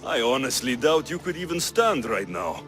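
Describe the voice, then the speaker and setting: An actor voicing a bold, wry, commanding Greek mercenary captain in a video game. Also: male voice, mid-range voice, big pitch swings, moderate pace, a bit bright, faint music behind. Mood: wry, flirtatious. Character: bold, flirtatious, stern male Greek mercenary ship captain. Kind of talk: video game character acting.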